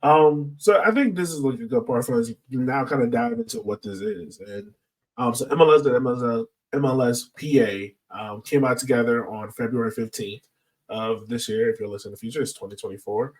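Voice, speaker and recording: A man's voice: medium pitch, brisk, distant mic, rough recording. A man hosting a podcast in a casual, informal discussion style. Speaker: podcast host.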